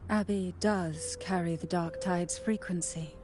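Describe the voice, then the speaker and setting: Woman as a slow, solemn ancient matriarch. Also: lowish voice, fairly even intonation, noisy background, natural voice. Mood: steadfast. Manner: solemn, deliberate, formal. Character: solemn century-old matriarch, wise and steadfast. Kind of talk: video game dialogue, solemn lore telling.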